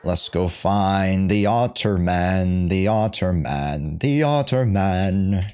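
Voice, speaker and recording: A man's voice: sits low, slow pace, a little mellow, smooth. A man doing casual live-stream commentary on a game, rambling and reading the dialogue aloud as he goes. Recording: game music behind the voice. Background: game music and dialogue.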